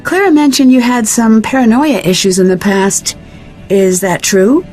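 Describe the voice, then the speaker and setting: A woman's voice: on the high side, normal speed, darkish tone, soft background music, natural voice. An actress voicing an inquisitive, composed young female detective in a video game, asking direct, probing questions. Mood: curious.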